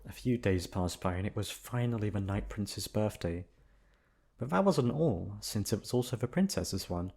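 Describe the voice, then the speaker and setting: Male narrator, low register, ASMR bedtime storybook reading. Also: animated, moderate pace, creaky, a little mellow, slightly rough. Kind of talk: soft storybook narration, ASMR sleep aid.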